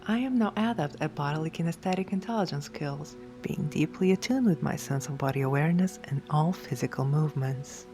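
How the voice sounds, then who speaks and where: A woman reads scripted affirmations for sleep hypnosis in a measured, deliberate way, phrase by phrase. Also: low voice, dark tone. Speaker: affirmations narrator. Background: background music.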